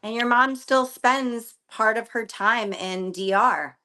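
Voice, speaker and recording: A woman's voice: a little high, slow, pushed and bright. A woman hosting a candid, slangy interview chat. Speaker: podcast host.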